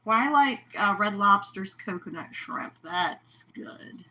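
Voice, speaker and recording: Chatty woman host, crisp bright voice, loves telling stories. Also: medium pitch, expressive, slow, low-quality recording. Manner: chatty, storytelling, laughs often. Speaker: host of an aquarium livestream. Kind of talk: casual chat.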